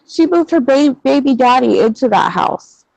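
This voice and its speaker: A woman's voice: high-pitched, animated, moderate pace, gravelly, darkish tone, no room sound, poor audio. A woman in her thirties in casual chat, venting bluntly with plenty of swearing. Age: 30s.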